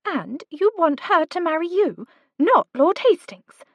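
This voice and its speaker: Spirited young matchmaker, read by a woman: higher, eager, insistent. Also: big pitch swings, a little slow, no room sound, heavily acted. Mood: urging. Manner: urgent, coaxing.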